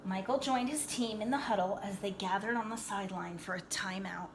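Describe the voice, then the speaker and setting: A woman reading a children's picture book aloud in an animated, dramatic way, pausing to explain things to young listeners like a teacher. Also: medium pitch, slight background noise. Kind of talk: expressive read-aloud storytelling with asides.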